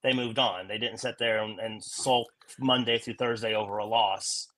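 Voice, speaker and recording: A man co-hosting a podcast in casual conversation, measured, repeating words as he thinks. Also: fairly low pitch, fairly even intonation, bright, forceful tone, low-quality recording. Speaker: podcast co-host. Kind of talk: casual chat.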